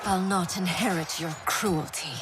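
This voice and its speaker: A woman's voice: fairly low pitch, steady tone, measured pace, close to an everyday voice. A woman playing a resolute young warrior princess in a video game cutscene, formal and earnest. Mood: defiant. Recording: very loud music over the voice.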